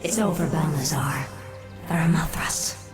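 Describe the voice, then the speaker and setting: Low, cold undead elf queen. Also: steady tone. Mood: imperious. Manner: imperious, measured, menacing. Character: cold, commanding undead elf witch queen bent on vengeance. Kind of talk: dramatic video game acting. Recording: loud music under the voice.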